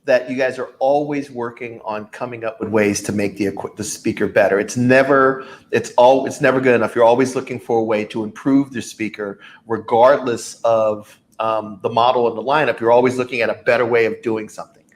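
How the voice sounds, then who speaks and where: A man's voice: medium pitch, fairly even intonation, fairly quick, few pauses. A man hosting a product event chat, enthusiastic and filler-heavy as he leads the talk. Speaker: host.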